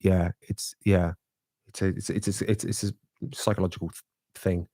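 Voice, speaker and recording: A man's voice: low-pitched, little pitch movement, normal speed, warm tone, dry recording, clean studio sound. A man in his thirties, from Britain, co-hosting a live podcast in rambling, casual talk that restarts often. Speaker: podcast co-host. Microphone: podcast microphone.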